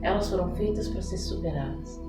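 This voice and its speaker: Low-voiced Brazilian woman, echoey room. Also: brisk. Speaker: podcast guest, oracle card reader and holistic therapist. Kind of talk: card reading and interview answers. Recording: echoey room with music behind.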